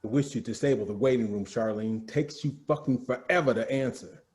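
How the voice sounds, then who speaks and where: A man's voice: mid-range voice, fairly quick, darkish tone, roomy sound, close to an everyday voice. An actor reading an irritable, swearing man in his forties in a play reading over a video call. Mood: irritated. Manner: gruff, swearing, impatient.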